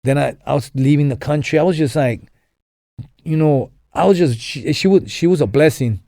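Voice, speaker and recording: A man's voice: on the high side, normal speed, vocal fry, warm tone, no echo, clean studio sound. A young man from Los Angeles, a podcast guest telling his story in a rambling way, full of fillers and restarts. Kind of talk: casual storytelling interview.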